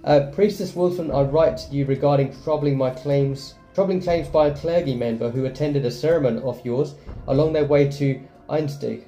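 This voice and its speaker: A man's voice: medium pitch, normal speed, mellow. A man hosting a gaming video, reading game text aloud and adding casual commentary. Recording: echoey room sound. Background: faint game music.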